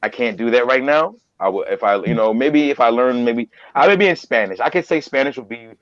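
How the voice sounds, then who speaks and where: A man's voice: medium pitch, fairly quick, fairly bright tone, dry recording. A man talking casually as a guest, often repeating words and phrases for emphasis, on a lo-fi recording. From Trinidadian family. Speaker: guest, voice-over performer and business owner. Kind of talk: casual chat. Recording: dull lo-fi audio.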